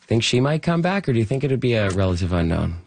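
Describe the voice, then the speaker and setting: A man with a husky voice hosting an interview, putting questions in a conversational broadcast style. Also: medium pitch, sing-song, fast talker, a little mellow, no echo. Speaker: interviewer, host.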